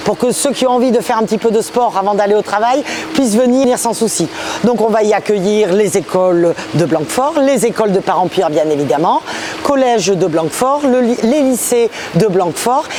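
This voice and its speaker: A woman's voice: on the high side, darkish tone, a little hiss or hum. A woman presenting a guided tour to viewers, explaining things directly as a local official. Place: on location at a new indoor swimming pool and outside it.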